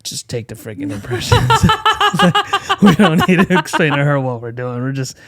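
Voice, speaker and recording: A man co-hosting a casual podcast chat, telling lively work stories and acting out what people said. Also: a little high, normal speed, no room sound. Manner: animated storyteller, acts out quotes. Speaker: co-host.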